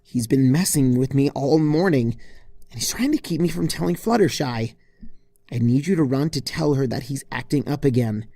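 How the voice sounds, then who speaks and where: A narrator voicing an exasperated young female unicorn pony in a fan story reading, indignant and pleading, given to frustrated shouts and mutters. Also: medium pitch, moderate pace, creaky, no room sound. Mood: irritated muttering.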